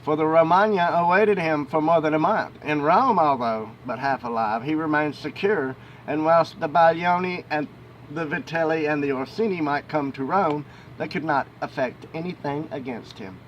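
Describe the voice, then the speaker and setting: A man reads a historical text aloud in a somewhat high-pitched voice. His phrasing is emphatic, in the manner of an amateur audiobook reader who now and then trips on a word. A faint ambient noise sits behind him. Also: normal speed, muffled recording. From United States. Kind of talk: reading a historical book aloud, with informal asides. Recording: noisy home recording with ambient rumble.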